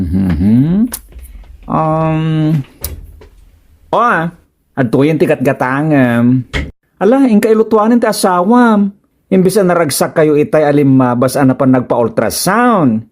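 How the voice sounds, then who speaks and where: Woman acting slow, low-voiced village friend. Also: darkish tone, exaggerated voice. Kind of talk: Ilocano comedy radio drama acting.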